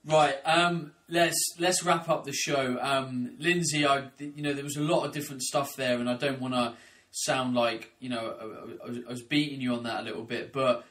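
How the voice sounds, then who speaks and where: A man's voice: medium pitch, steady tone, normal speed, a bit bright, far from the mic. A man hosting a podcast, talking bluntly and casually. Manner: blunt, chatty, says mate. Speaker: podcast host.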